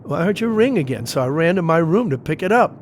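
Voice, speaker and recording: A man's voice: fairly high pitch, big pitch swings, fast. An actor playing an uneasy, determined man investigating a house in an audio play, talking urgently and colloquially over faint noise.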